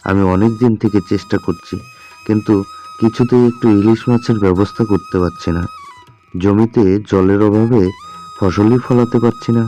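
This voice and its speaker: Guy playing poor tired village woodcutter, lowish earnest voice, plaintive, cartoon with music. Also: steady tone, moderate pace, warm tone, highs cut off, natural voice. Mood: weary, worried. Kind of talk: cartoon voice acting.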